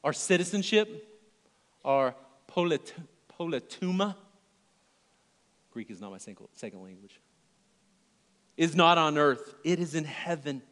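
A male pastor preaching a sermon in a church, his delivery earnest and swinging from loud emphasis to hushed, near-whispered lines. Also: fairly high pitch, sing-song, a little slow, long pauses, big-room sound.

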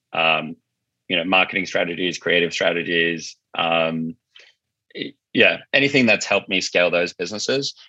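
A man with a deep but bright, forward voice talks casually as an interview guest. He explains his thoughts informally, working them out as he goes. The sound is close and dry, a little muffled and lo-fi. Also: dry recording. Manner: informal, explanatory, thinking aloud. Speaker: podcast guest, marketing agency founder.

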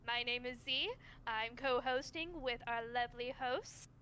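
A woman's voice: fairly high pitch, measured pace, bright, forceful tone, clean tone. A young woman co-hosting a podcast, chatting casually with a jokey, scattered manner and plenty of fillers. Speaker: podcast co-host. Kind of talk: casual chat.

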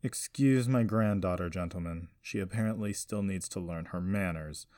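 A man's voice: deep voice, moderate pace, a little mellow, no room sound, clean studio sound, close to an everyday voice. A man voicing a stern, haughty old grandfather with a curt, demanding manner, in an audiobook story. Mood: scolding.

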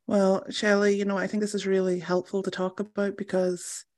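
A woman hosting an interview, asking unhurried questions and reacting often with "wow" and "yeah". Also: medium pitch, level tone, darkish tone, no echo, clean studio sound. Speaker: podcast host. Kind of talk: interview chat.

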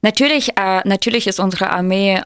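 A woman's voice: mid-range voice, a bit bright, clean tone, dry recording, clean studio sound. Young woman hosting a podcast. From Ukraine. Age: 20s. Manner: measured and explanatory, many hesitation fillers. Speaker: podcast host. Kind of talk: casual podcast chat.

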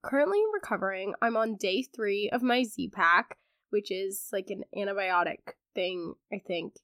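A woman's voice: medium pitch, unhurried, a bit bright, no echo, clean studio sound. A teenage girl hosting a podcast, sounding congested as she recovers from laryngitis, chatting casually with a sarcastic streak and lots of fillers. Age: teens. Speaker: podcast host. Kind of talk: casual chat.

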